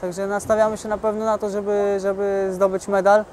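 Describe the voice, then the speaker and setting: A man's voice: sits high, level tone, a little fast, darkish tone. A young man, a boxer, answering interview questions in a plain, matter-of-fact way. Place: outdoors by a glass building. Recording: faint outdoor noise.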